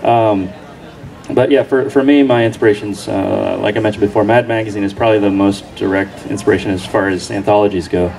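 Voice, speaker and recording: Male comics creator on an outdoor panel, low voice, hesitant, off-mic. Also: fairly even intonation, creaky, darkish tone, far from the mic, poor audio. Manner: halting, many ums and restarts. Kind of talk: panel discussion answer. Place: outdoor book fair stage in a park.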